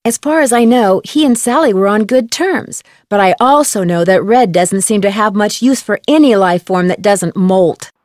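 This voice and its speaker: A woman playing a composed young female amateur detective in a video game, explaining things in a measured way. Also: medium pitch, darkish tone, no echo, clean studio sound, natural voice. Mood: matter-of-fact. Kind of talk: scripted video game voice acting.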